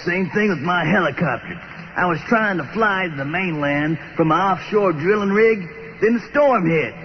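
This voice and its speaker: An actor playing a casual, practical, plain-spoken stranded helicopter pilot in an old time radio drama. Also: male voice, high-pitched, normal speed, a little hiss or hum, close to an everyday voice. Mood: matter-of-fact. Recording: muffled, reverberant radio recording with music.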